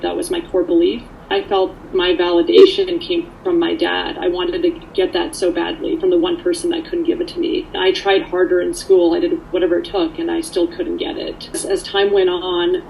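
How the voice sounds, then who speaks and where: Low, gravelly woman sharing personal testimony. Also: darkish tone, slight background noise, rough recording. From Canada, born in Poland. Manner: candid, earnest. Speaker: speaker sharing her recovery story.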